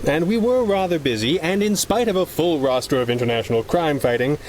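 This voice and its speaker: An actor playing a smooth, dryly playful newlywed man in a 1930s-style radio adventure. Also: a little high, animated, brisk, darkish tone, slight background noise, natural voice, rough recording. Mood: wry, playful. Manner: dry, bantering, smooth. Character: suave, playful, unruffled newlywed husband adventurer.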